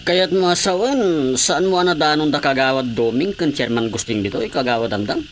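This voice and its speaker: An actress with a Filipino accent playing a flustered village gossip in a radio comedy drama. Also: female voice, deep voice, expressive, brisk, slight background noise. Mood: puzzled.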